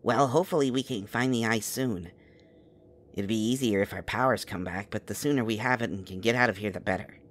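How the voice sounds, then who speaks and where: A man's voice: fairly low pitch, brisk, fairly bright tone, close to an everyday voice. A man playing an exasperated, protective member of a magical quest, scolding his companion in an urban fantasy audio drama. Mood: weary, dry.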